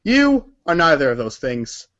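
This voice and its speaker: A man's voice: on the high side, wildly swooping pitch, unhurried, fairly bright tone. A man reading scripted narration for a read-along story performance, in a dull recording.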